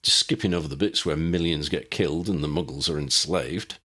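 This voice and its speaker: A man voicing a gruff, blunt, argumentative young male wizard in an audiobook story. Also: extremely low pitch, brisk, sharp tone, heavily acted. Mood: blunt, grumbling. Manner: blunt, growling.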